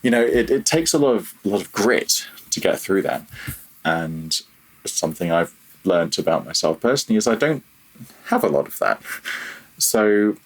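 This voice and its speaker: A man's voice: deep voice, sing-song, normal speed, poor audio. A man talking casually as an interview guest, candid and self-deprecating with a wry manner. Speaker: podcast guest, audio software developer.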